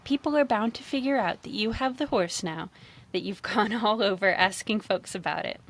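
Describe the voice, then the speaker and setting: A woman reading the part of a brisk half-orc policewoman in a fantasy storybook, firm and chiding but friendly. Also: medium pitch, close to an everyday voice.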